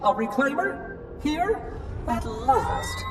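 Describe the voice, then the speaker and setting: An actor playing a prim, fussy robot caretaker with a slight electronic filter, speaking in a precise, matter-of-fact way, in a video game cutscene. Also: male voice, extremely high pitch, expressive, takes it slowly, exaggerated voice. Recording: distant voice under music.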